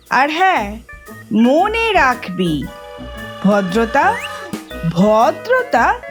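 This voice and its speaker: A woman acting as a stern Bengali mother in a comic audio play. Her high voice swings widely as she scolds and warns. She is bossy and emphatic, drawing out her orders at a slower pace. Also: heavily acted. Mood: bossy, insistent. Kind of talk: comedy audio drama acting.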